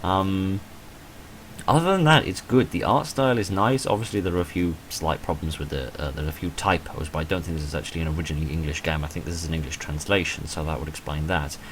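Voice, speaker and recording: A man with a low voice talks through a video game as a casual let's-player. His delivery is measured and understated, with a mildly hedging way of putting things. There is faint noise behind the voice. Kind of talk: casual game playthrough commentary, reading text aloud and reviewing.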